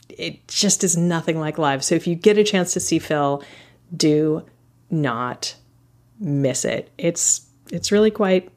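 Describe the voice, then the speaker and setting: A woman hosting a podcast, talking in a casual, enthusiastic way and laughing often. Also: low voice. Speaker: podcast host.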